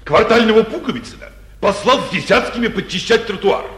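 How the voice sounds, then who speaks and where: An actor playing a timid, obsequious provincial police officer who reports deferentially, in a 1940s stage comedy for radio. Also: male voice, high-pitched, lively intonation, brisk, fairly bright tone. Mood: servile reporting. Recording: roomy old 1940s radio recording.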